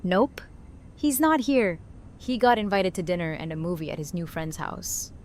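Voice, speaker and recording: A woman's voice: on the low side, moderate pace, a little mellow, a little hiss or hum, lightly acted. A woman playing a blunt, unbothered character with sardonic, offhand delivery in an audio drama. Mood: amused, offhand. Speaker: voice actor in a fan audio drama. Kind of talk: acted audio drama dialogue.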